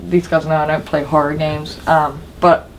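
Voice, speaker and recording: A woman with a fairly low voice talks as a podcast co-host. She is informal and giggly, chatting casually over faint background noise. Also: little pitch movement, normal speed, rough recording.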